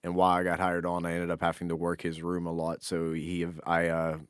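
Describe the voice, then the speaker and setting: Very deep-voiced man chatting casually. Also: monotone, fairly quick, smooth, dry recording, clean studio sound. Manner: informal, swears casually. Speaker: podcast co-host. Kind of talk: casual chat.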